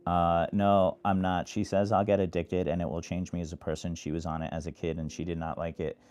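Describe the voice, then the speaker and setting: Low-voiced man, monotone livestream chat. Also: fairly quick. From New York. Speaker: livestream host. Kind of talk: casual live chat answering viewers. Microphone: phone.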